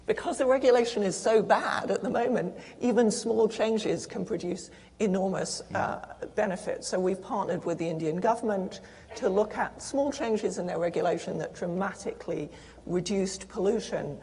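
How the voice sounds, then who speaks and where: A woman's voice: mid-range voice, normal speed, dark tone, a little hiss or hum. A woman speaking as a panelist. She explains earnestly, with fillers and restarts. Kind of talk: panel discussion answer. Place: conference hall. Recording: long hall reverb.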